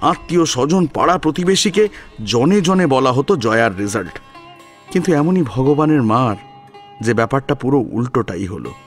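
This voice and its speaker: A man's voice: medium pitch, expressive, normal speed, faint music behind. A man narrating a serialized story in a dramatic style, as its storyteller.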